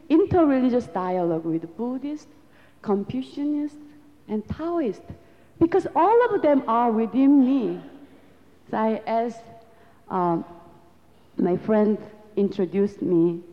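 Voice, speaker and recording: A Korean-accented woman whose voice sits a little high and moves slowly and deliberately. She lectures in earnest, reflective, non-native English. The sound is dull and carries a long hall reverb. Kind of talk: lecture to an audience.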